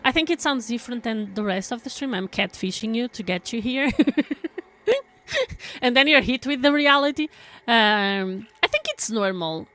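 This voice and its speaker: A woman's voice: fairly high pitch, lively intonation, normal speed, bright, forceful tone. A woman from Portugal, chatting casually with her stream viewers in a rambling way, full of fillers and restarts.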